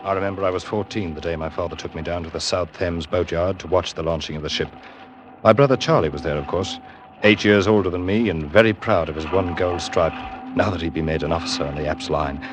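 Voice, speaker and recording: A man's voice: low voice, brisk, background noise, close to an everyday voice. A man acting a seasoned sailor who grimly narrates his memories in a classic radio drama. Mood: reflective. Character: seasoned male sailor grimly recalling a murderous ship. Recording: old radio recording, loud music behind.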